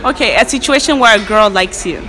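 A woman's voice: mid-range voice, animated, fast talker, crowd noise. A young woman hosting a casual street interview, asking questions into a hand-held phone. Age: young adult. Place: college campus at night, mostly outdoors. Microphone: phone held in hand between speakers. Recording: noisy outdoor phone recording, urban ambience.

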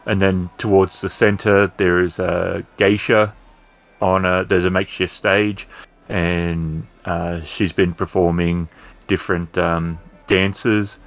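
A man with a low-pitched voice acts as storyteller for a tabletop game. He describes the setting in a halting, improvised flow, adding detail as he goes. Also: flat delivery.

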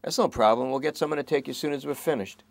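A man's voice: medium pitch, very fast, vocal fry, no echo, voice pushed up, lightly acted. A man voicing a tired, dryly coaxing police detective during a late-night interrogation. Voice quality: dry, coaxing. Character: weary, wry middle-aged male police detective.

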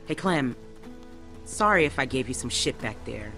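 A woman playing a short-tempered, worn-down pregnant survivor in a video game, frank and a little halting. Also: a bass voice, animated, a bit bright, faint music behind, full character voice. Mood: weary, confiding.

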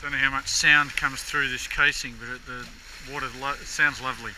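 A middle-aged man at the helm of a sailboat, calmly describing the passage to a camera. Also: medium pitch, fairly quick. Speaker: skipper. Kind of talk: casual sailing vlog commentary. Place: outdoors on a sailboat at sea. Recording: loud wind noise outdoors.

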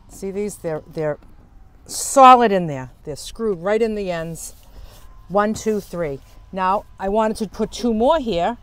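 An older woman giving a casual, chatty how-to tour as a vlogger, explaining things as she works with her hands. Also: a little high. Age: older, senior. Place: outdoors at the open side door of a camper van. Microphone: small clip-on lavalier mic.

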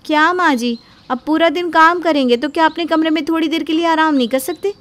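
A woman voicing a cheeky, outspoken younger daughter-in-law in an animated family story, with a sassy, complaining manner. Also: sits high, brisk, pitched above the performer's own voice.

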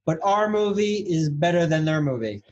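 A man's voice: on the high side, expressive, normal speed, muffled recording. A man chatting as a podcast guest over a video call, enthusiastic and promotional. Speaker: film director, guest. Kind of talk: casual chat.

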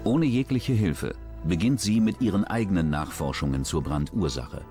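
Male doc narrator, deep, lively intonation, measured and sober; clear music bed under the voice. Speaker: documentary narrator. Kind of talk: scripted documentary narration.

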